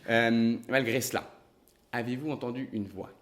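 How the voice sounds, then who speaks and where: An actor playing a calm, reasoning male detective who speaks in a measured, deliberate way. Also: mid-range voice, close to an everyday voice. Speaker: investigator.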